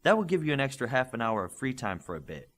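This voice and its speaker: A man voicing a brisk, authoritative male combat coach in an audiobook, to the point. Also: medium pitch, big pitch swings, fairly bright tone, pitched above the performer's own voice. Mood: businesslike.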